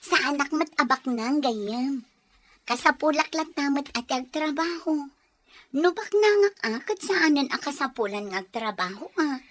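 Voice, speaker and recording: Woman acting cheerful ilocano housewife, high voice, friendly and chatty, family drama. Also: animated, normal speed, a bit bright, dry recording. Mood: friendly, generous. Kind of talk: acted family radio-style drama dialogue.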